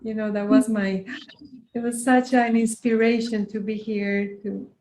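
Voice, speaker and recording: A woman's voice: medium pitch, little pitch movement, a little slow, echoey room, a little hiss or hum, low-quality recording. A woman from Costa Rica, a yoga teacher, speaking earnestly and reflectively as an interview guest. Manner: soft-spoken, earnest, frequent restarts.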